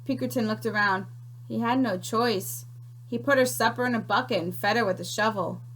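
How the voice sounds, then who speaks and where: A woman teacher reading a picture book aloud to children, expressive and unhurried, pausing to ask questions. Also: fairly high pitch, slight background noise, poor audio.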